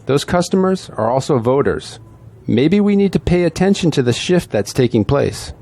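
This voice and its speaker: A man's voice: a little high, big pitch swings, moderate pace, warm tone. A man playing a beleaguered, pragmatic veteran politician in an audio drama, speaking in an emphatic, world-weary way. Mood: resigned, calculating.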